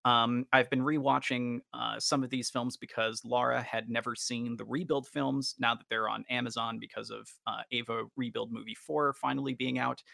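A man's voice: mid-range voice, flat delivery, moderate pace, vocal fry, few pauses, pushed and bright, no room sound, clean studio sound. A man hosting a casual podcast, talking in wordy, roundabout and slightly formal phrases. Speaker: podcast host.

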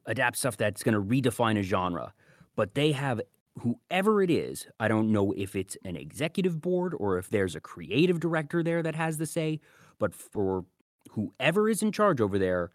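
A man's voice: lowish voice, no room sound, studio quality. A man hosting a podcast, giving casual, conversational reviews. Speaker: podcast host.

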